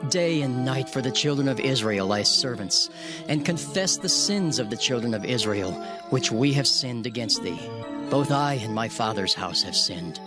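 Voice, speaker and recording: A man's voice: medium pitch, expressive, moderate pace. A man narrating scripture in the first person, reading in an earnest, prayerful manner as part of a dramatized recording. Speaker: narrator voicing Nehemiah. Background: music underscore.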